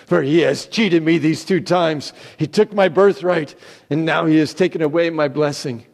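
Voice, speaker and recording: A man playing a cheated elder son, loud, bitter and pleading, in a dramatic scripture reading. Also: a little high, moderate pace, vocal fry. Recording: reverberant church hall.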